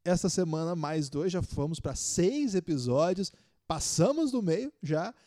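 A Brazilian man hosts a casual sports podcast. His voice sits high for a man and swings widely in pitch, giving the talk a very animated feel. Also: measured pace. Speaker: podcast host.

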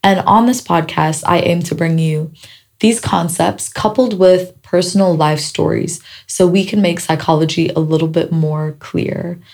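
A woman hosting her own podcast, her voice raspy and dry from a week of celebrating, talking in a chatty, reflective way with small jokes. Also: on the low side, unhurried, darkish tone. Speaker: podcast host. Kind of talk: casual solo podcast talk. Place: seated indoors at a microphone.

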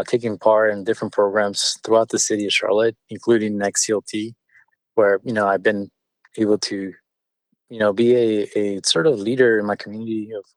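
A man whose first language is Spanish, a business owner answering interview questions in a measured way with frequent fillers and restarts. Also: fairly low pitch, no echo, poor audio. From born in California, partly raised in Mexico City. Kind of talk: conversational interview.

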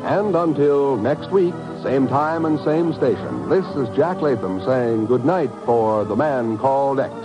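A man's voice: medium pitch, lively intonation, unhurried, few pauses, highs cut off, music in the background. A man announcing in a polished, emphatic 1950s radio style, reading scripted sponsor messages.

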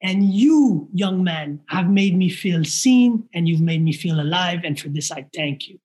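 Old Jamaican woman, grateful, slow, repeats herself; played by a man telling a story. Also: sits high, lively intonation, darkish tone, pitched below the performer's own voice. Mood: heartfelt. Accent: Jamaican, imitated.

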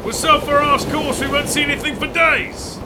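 An actor playing a dutiful sailor calling out in a radio drama. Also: male voice, very high voice, steady tone, normal speed. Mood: brisk, dutiful. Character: dutiful sailor shouting aboard ship. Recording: loud wind and sea noise.

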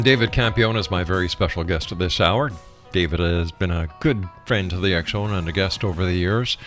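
A man's voice: fairly low pitch, fairly bright tone. A man hosts a radio talk show. He presents to listeners and puts questions to a guest in interview style.

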